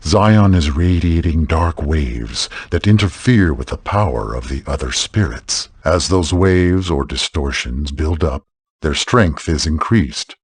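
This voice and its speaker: A man's voice: a bass voice, animated, measured pace, slightly rough, no echo, heavily acted. A man voicing a grave, wise old lord in a fantasy game, speaking in a solemn, formal manner.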